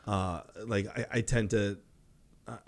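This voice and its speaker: A man co-hosting a podcast, chatting casually in an informal, slightly halting way. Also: on the low side, fairly even intonation, vocal fry. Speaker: podcast co-host. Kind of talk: casual conversational podcast chat.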